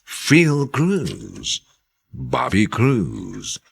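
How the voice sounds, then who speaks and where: Male radio drama announcer, Filipino accent, very animated intonation, husky, formal, theatrical, courteous. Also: medium pitch, drawn-out words.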